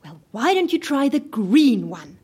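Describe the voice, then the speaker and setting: An actress playing a brisk, patient, no-nonsense office assistant in an audio comedy, coaxing and matter-of-fact. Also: female voice, sits high, big pitch swings, a little mellow, breathy edge, exaggerated voice. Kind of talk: audio drama comedy acting.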